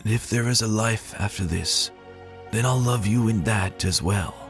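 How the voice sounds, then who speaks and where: A man voicing a lovestruck young male pony, speaking earnestly with heartfelt, dramatic pauses in a fan fiction reading. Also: lowish voice, over music, lightly acted. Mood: adoring, earnest.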